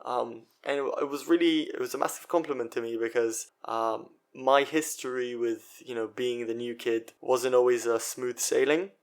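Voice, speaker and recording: A young man from Israel talks alone into the mic for his podcast. His voice sits at a middling pitch, and he rambles in a casual, self-deprecating way, stopping and restarting his sentences and leaning on fillers as he goes. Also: steady tone, moderate pace, few pauses. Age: 20s. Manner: chatty and self-mocking, many fillers and restarts. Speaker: podcast host. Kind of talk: solo podcast monologue.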